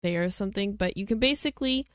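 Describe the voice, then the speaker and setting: A woman's voice: mid-range voice, animated, fast, fairly bright tone, clean tone, no echo, clean studio sound. A woman hosting a gaming video, giving casual, chatty commentary as she shows things off. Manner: chatty and informal. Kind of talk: casual game showcase commentary.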